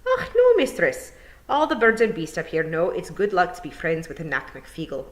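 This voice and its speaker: A woman's voice: lowish voice, huge pitch swings, moderate pace. A woman voicing a cheerful, deferential young male pixie with a Scottish accent in a storybook reading. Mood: cheerful, matter-of-fact. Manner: dialect-heavy, addresses listener respectfully as mistress.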